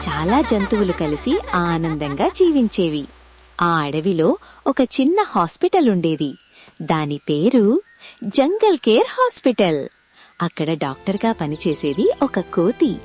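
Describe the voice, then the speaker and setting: A woman narrating a scripted children's story in an expressive way and speaking directly to the kids listening. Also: mid-range voice.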